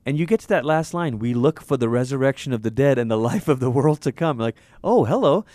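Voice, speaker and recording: A man from Malaysia, a pastor, talking in a lively, thoughtful way in an interview and laughing easily. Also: medium pitch, no echo. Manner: animated, thoughtful, quick to laugh. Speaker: pastor and author. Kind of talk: conversational interview.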